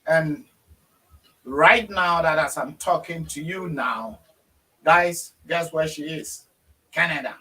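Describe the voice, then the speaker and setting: A Ghanaian man hosts a relaxed online interview in a bright, fairly high voice. He talks unhurriedly, pausing often with fillers. He sits a little back from the microphone. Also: recorded from a distance, low-quality recording. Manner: warm, slow, hesitant with many fillers. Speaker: host. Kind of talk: casual video interview.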